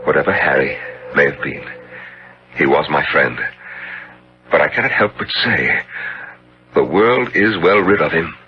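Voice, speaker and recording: An actor playing a principled painter full of moral outrage and horror, in dramatic, breathless 1970s radio drama style. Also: male voice, very deep voice, measured pace, pauses often, a little hiss or hum. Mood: stern. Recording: muffled radio recording with music.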